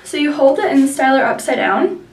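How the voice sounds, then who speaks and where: A woman's voice: sits high, sing-song, moderate pace, distant mic. A young woman with a nasal voice from a sinus infection, chatting through a hair tutorial with many likes and ums. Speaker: beauty vlogger. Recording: echoey room, mic set back.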